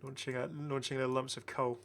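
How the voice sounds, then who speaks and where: A man's voice: medium pitch, flat delivery, fairly quick, fairly bright tone. A man giving casual, enthusiastic game commentary as a chatty, rambling video host.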